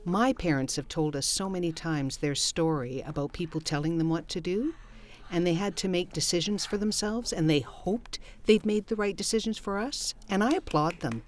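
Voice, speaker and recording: A woman's voice: lowish voice, moderate pace. A woman, a mother, speaking earnestly and directly to other parents. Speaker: mother sharing her experience. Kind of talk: personal testimonial to camera.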